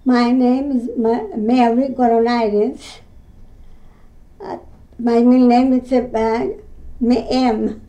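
A woman in her nineties from Greece with a Greek accent, recounting her mill work in an oral history interview at home, halting with many fillers and simple non-native grammar. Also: on the high side, level tone, mellow. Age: 90s. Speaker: interviewee, retired mill weaver.

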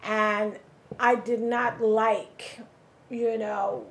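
A woman's voice: fairly high pitch, very slow, gravelly, slight background noise. Woman from Somalia telling her story. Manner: conversational storytelling, animated when quoting people. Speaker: interviewee. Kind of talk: oral history interview.

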